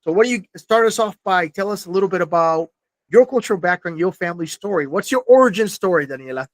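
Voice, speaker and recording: A man in his forties hosting an alumni podcast, with a polished, measured delivery in the intro and questions. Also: high-pitched, animated, no echo. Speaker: podcast host.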